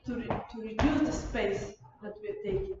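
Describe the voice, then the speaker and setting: A young woman from Bulgaria giving an informal lecture in a halting, rephrasing, digressive way. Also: a little high, very animated, over music. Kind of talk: informal lecture and discussion of questions. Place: university lecture room. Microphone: far camera. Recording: distant mic, muffled, echoey room, loud noise.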